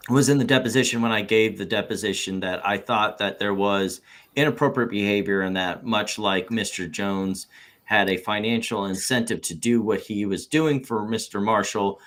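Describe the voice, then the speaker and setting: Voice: sits low, normal speed, fairly bright tone. Someone playing an evasive businessman on the witness stand. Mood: guarded. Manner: evasive, hedging, noncommittal. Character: evasive, hedging male businessman testifying as deposition witness. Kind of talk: read-aloud deposition transcript.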